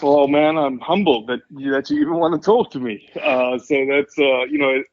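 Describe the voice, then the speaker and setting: A man's voice: mid-range voice, normal speed, no room sound, dull audio. A South African man in his forties talking casually as a podcast guest, humble and dryly joking. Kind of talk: casual interview storytelling.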